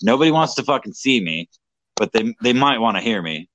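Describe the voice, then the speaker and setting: A man's voice: mid-range voice, big pitch swings, fairly quick, a bit bright, no echo, rough recording. A young man in casual livestream chat, slightly drunk, rambling, swearing and mocking himself. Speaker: livestream co-host.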